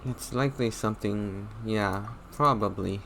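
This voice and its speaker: A woman's voice: very deep voice, unhurried, noisy background. A woman giving casual, hesitant commentary while playing a game. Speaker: gamer reacting to gameplay.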